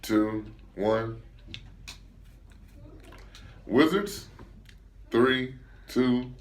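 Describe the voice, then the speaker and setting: A man co-hosting a casual sports talk show, laid-back and slangy, with quick interjections. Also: medium pitch. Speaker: podcast co-host.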